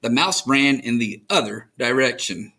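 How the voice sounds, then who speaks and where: Animated American man reading a children's story. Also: mid-range voice, unhurried, gravelly. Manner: expressive, dramatic storytelling. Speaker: children's story reader, host.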